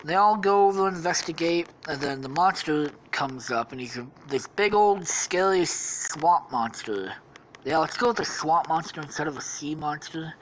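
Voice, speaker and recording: A woman's voice: deep voice, moderate pace, a little hiss or hum, rough recording. A woman podcast host improvising a crude, profanity-laden story in a rambling way. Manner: profane, rambling, laughing at her own story.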